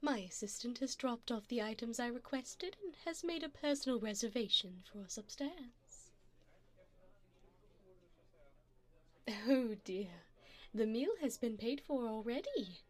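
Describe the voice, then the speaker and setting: A woman's voice: fairly high pitch, expressive, normal speed. A woman voicing an elegant, flirtatious vampire in an ASMR roleplay, speaking formally and teasingly to the listener with endearments. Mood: indulgent, seductive.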